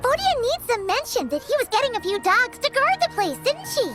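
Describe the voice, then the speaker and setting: A woman's voice: extremely high pitch, big pitch swings, fairly quick, over loud music. A woman voicing a small, cheerful, chatty fairy girl companion in a video game, exclamatory and childlike. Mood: excited. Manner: exclamatory, childlike, refers to self by name.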